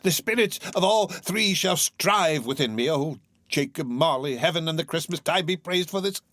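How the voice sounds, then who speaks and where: A man's voice: fairly high pitch, animated, normal speed, a bit bright, no room sound, pitched above the performer's own voice. Elderly reformed miser, giddy and breathless, stammering, a man reading it; dry close sound. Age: older. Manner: stammering, breathless, laughing between words. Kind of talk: audiobook character reading.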